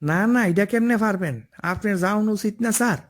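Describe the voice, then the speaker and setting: A man's voice: sits high, brisk, lightly acted. A man voicing a scheming, cocksure office clerk in a comic cartoon, pushy and wheedling, with an eastern Bengali dialect. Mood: anxious, wheedling.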